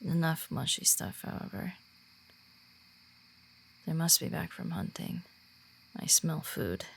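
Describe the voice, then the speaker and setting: A woman's voice: low-pitched, takes it slowly, gravelly, a little hiss or hum, full character voice. A woman voicing a friendly female werewolf who guides and reassures a new pack member in a confiding roleplay audio. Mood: reassuring. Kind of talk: roleplay audio drama in ASMR manner.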